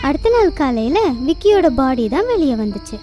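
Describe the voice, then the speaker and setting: Woman doing a young cartoon wife, very high voice, lively. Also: fairly quick, shouting. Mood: storytelling. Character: young wife Simran, cheerful and chatty. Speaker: storyteller within the cartoon. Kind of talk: Tamil cartoon dub. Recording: music bed behind.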